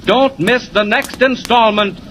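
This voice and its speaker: A man's voice: extremely high pitch, a little slow. A man narrating as a 1940s radio serial announcer, dramatic and urgent. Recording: faintly noisy old radio recording.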